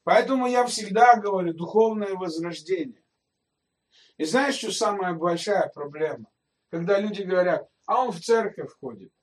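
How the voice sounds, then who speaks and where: A man's voice: high-pitched, lively intonation, normal speed, distant mic. A male pastor giving a sermon in a conversational way, speaking straight to his listeners and asking them rhetorical questions.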